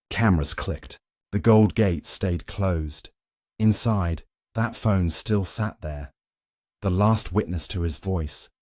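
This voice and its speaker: Male narrator, low and dark-toned, for solemn, suspenseful scripted storytelling delivered in short dramatic phrases. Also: dry recording, clean studio sound.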